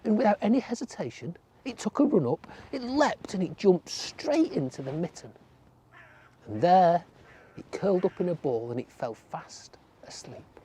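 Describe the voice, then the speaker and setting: A storyteller reading a folk tale to children, unhurried and gentle, drawing some words out and dropping others to a whisper. The pitch moves a lot, and the voice is mellow and slightly husky. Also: lively intonation.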